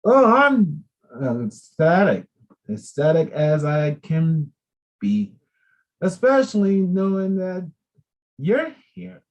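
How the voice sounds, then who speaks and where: A man's voice: high-pitched, very animated, slow, pauses often, highs cut off. A man hosting an interview show, effusive and chatty as he welcomes his guest. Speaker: host.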